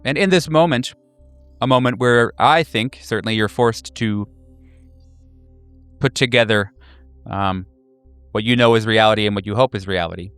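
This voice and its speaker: A man running a horror tabletop game, narrating atmospherically in a measured, deliberate way. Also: lowish voice, fairly bright tone, smooth, no echo, soft background music.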